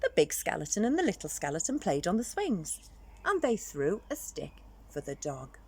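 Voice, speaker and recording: A woman's voice: lowish voice, huge pitch swings, normal speed, darkish tone, slight background noise. A woman storyteller reading a children's picture book aloud expressively, drawing out words for dramatic emphasis.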